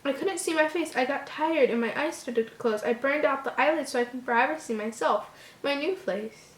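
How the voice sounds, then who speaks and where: A woman's voice: high-pitched, fairly quick, pitched above the performer's own voice, close to an everyday voice. A woman voicing a deranged, gleeful boy killer from a horror story in a giddy, childlike manner. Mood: creepy, childlike. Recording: roomy, echoing home recording.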